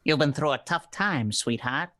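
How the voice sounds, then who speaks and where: A woman's voice: low voice, normal speed, fairly bright tone, no echo, clean studio sound, pitched below the performer's own voice. A woman playing a tough, cold male private detective in 1940s radio drama style, bossy and hard-boiled. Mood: hard, irritated.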